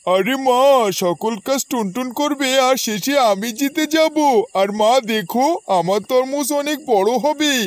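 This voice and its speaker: A very high-pitched young male crow for a children's cartoon. He is lazy and sly, and he boasts and schemes as he talks. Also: expressive, unhurried. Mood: gloating.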